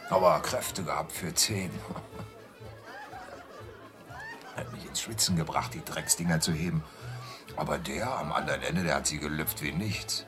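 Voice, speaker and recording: Working-class carter, deep, animated, rambling, colloquial, chuckling, radio drama, clear music behind. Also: male voice, a little slow, long pauses, a crowd in the background, full character voice. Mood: chuckling, reminiscing. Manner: rambling, colloquial, deferential with "Sir". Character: rough thirsty working-class London carter, chuckling, sly. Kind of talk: German radio drama acting.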